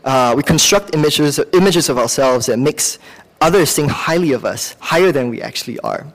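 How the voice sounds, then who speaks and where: A man from Singapore with a Singaporean accent preaches in a conversational, halting way, weaving in personal anecdotes and correcting himself as he goes. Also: fairly high pitch, expressive. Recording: long hall reverb.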